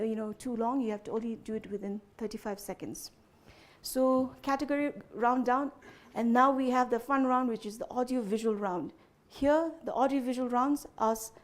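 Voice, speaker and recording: Chatty woman quizmaster, slightly high voice. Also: a little mellow. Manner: chatty, frequent "you know" fillers, checks understanding with "okay?". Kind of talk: explaining quiz rules to contestants.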